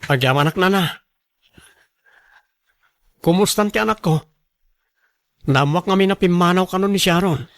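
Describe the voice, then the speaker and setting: A man's voice: sits high, normal speed, pauses often, a bit bright, no echo, studio quality. A man playing an earnest, respectful and responsible young father in a radio drama. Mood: earnest, caring.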